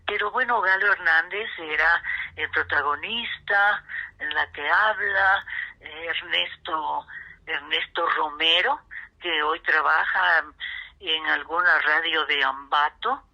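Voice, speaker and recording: An older woman with an Ecuadorian highland accent reminisces in an interview. Her voice is strikingly sharp and her pace slow, with emphatic repeats. The sound is slightly muffled and lo-fi. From Ecuador, grew up in Riobamba. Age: 70s. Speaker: interview guest, veteran radio actress.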